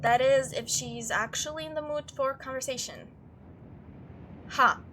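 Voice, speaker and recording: A woman's voice: sits high, unhurried. A young woman playing a visual novel, reading it aloud expressively with playful asides. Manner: animated, expressive read-aloud. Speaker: game streamer. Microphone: home microphone. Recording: noisy home microphone recording.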